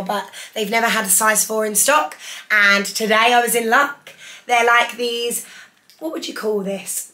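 A young woman in her twenties, hoarse from losing her voice, chatting enthusiastically through a clothing haul in her living room. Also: a little high, bright, forceful tone. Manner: chatty, enthusiastic, holds up each item. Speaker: fashion vlogger. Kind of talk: casual haul vlog. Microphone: camera in front, seated.

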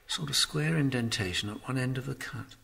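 Thoughtful doctor, mid-pitched. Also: male voice, gravelly, pushed and bright. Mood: absorbed. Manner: deliberate, explanatory. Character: thoughtful, careful gentleman doctor examining evidence. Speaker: radio drama actor. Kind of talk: radio drama acting.

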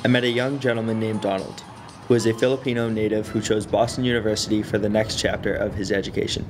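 A man's voice: fairly low pitch, fairly even intonation, fast. A young man reading an earnest, formal scripted narration for a student documentary. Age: young adult. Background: music.

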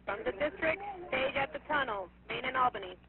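Fire dispatcher on radio, high voice, clipped readbacks, thin tinny sound. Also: expressive, a little fast, over a noisy crowd. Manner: clipped, repeats messages back. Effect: two-way radio.

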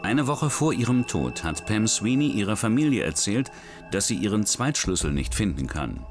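A man narrating a crime documentary in a measured, dramatic scripted style. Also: on the low side, sing-song, music in the background.

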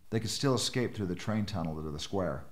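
A man's voice: low voice, lively intonation, fairly quick. An actor playing a thoughtful, cautious technical planner, a man, in a radio drama. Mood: considering.

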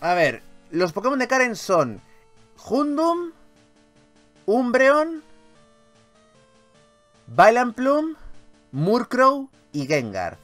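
A man with a Castilian Spanish accent giving casual, animated gameplay commentary as a video host, swearing freely. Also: very high voice, drawn-out words, pauses often, soft background music. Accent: Spanish from Spain.

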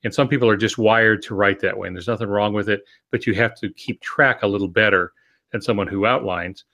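Older man, lowish instructive voice. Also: steady tone, fairly quick, bright, forceful tone. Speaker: podcast co-host, writing mentor. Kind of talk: explanatory discussion. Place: home office, on a video call.

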